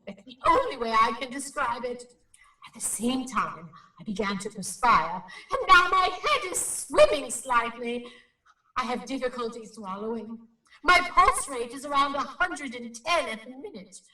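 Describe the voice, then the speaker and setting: A woman's voice: fairly high pitch, animated, moderate pace, pushed and bright. A woman playing a solemn, self-important paranormal investigator, reporting in formal, precise phrases in a radio play. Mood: breathless, grave. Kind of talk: radio drama acting. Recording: roomy, slightly distant microphone.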